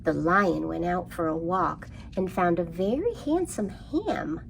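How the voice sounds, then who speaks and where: A woman leads a children's storytime at a very unhurried pace. Her voice has noticeable fry, and her delivery is sing-song and full of questions for little listeners. There is faint noise in the background. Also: lowish voice, expressive. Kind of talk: interactive storytime for young children.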